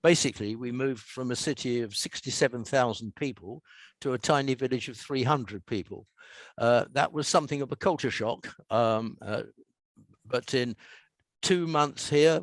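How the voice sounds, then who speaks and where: An older English man talks conversationally as a seasoned writer being interviewed. His voice sits at an average pitch for a man. He explains things in a measured, emphatic way, recorded dry with hardly any room around him. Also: dry recording. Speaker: author and radio scriptwriter being interviewed.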